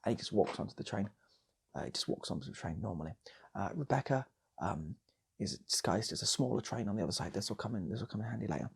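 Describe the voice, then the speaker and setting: A British man spins an improvised comic tale with a very husky, gravelly voice. His pitch moves around a lot as he rambles and repeats himself for effect, sometimes slipping into whispers and little acted voices. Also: expressive, fast talker. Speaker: channel host and storyteller.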